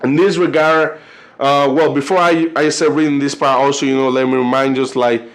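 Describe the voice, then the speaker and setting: A man's voice: medium pitch, level tone. A man from Colombia with a Colombian Spanish accent, a native Spanish speaker talking casually to camera as a vlogger, rambling with lots of fillers and stumbling when he reads aloud. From Cartagena, Colombia. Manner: loose, rambling, constant "you know" and "like" fillers; halting when reading aloud. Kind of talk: casual video chat.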